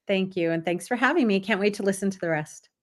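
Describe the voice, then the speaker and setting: A woman's voice: mid-range voice, fast. A woman author reading a children's story aloud to listeners. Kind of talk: scripted story read-aloud.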